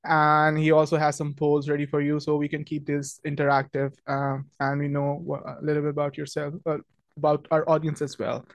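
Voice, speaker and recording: A man's voice: on the high side, completely flat delivery, brisk, dry recording. Chatty man hosting a webinar. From Pakistan. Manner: friendly, chatty, many ums and restarts. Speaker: webinar host, product marketing manager. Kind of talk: casual webinar presentation.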